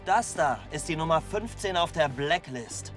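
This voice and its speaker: A man's voice: fairly high pitch, lively intonation, normal speed, lightly acted. A man playing a smug, loyal young henchman in a street racing gang, in a dubbed game cutscene with music behind.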